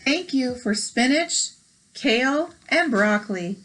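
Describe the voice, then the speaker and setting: Casting: American woman as read-aloud host, slightly high voice, encouraging, explains things simply between lines. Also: lively intonation, slow, a bit bright, poor audio. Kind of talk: reading a picture book aloud with chatty asides.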